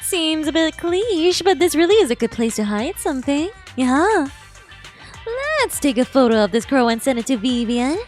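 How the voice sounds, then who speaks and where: A high-voiced woman game streamer with very lively intonation. She is playful and expressive, switching between reading game text aloud and reacting, with soft music behind her. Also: moderate pace. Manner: playful, expressive, cutesy exclamations.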